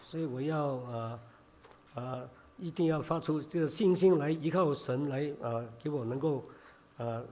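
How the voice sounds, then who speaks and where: A man preaching a sermon in Chinese, hoarse from a cold, halting with many filler sounds. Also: a little high, mellow.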